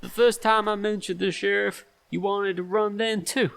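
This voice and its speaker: An actor playing a stern, shrewd, self-righteous man in an audio stage drama, speaking in a deliberate, accusatory and emphatic way. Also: high-pitched, steady tone, creaky, a bit bright. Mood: accusing.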